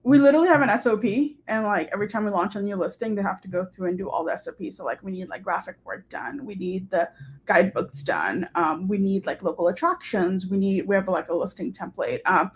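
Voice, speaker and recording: A woman's voice: mid-range voice, brisk, slight background noise. Chatty young woman, filler-heavy and upbeat. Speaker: guest, short-term rental host and hotel manager. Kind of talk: casual interview over a live stream.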